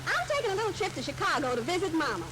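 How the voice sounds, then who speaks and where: An actress in an old-time radio comedy playing a naive, sentimental wife, eager and wistful. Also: female voice, extremely high pitch, very animated, very fast. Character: naive, sentimental wife excited about her mother. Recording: noisy vintage radio recording with rain.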